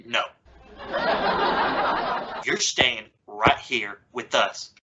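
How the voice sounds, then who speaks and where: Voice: mid-range voice, very slow, sharp tone, lo-fi sound. A woman playing a flustered, impatient middle-aged father in an old-time radio family comedy, stammering in exasperation. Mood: irritated. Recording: noisy recording with clicks.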